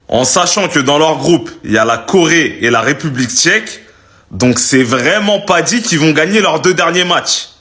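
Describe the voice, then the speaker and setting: A man with a slightly high voice comments on football casually. He is frank and opinionated, stressing his points emphatically. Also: fairly bright tone.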